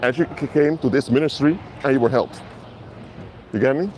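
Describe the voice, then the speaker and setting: A man's voice: mid-range voice, a little fast, darkish tone, slight background noise. A man whose first languages are Papiamento and Dutch, preaching earnestly to live-stream viewers on a mobile phone outdoors in a city. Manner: earnest, repetitive, addresses viewers directly. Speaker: minister. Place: outdoors in an urban area.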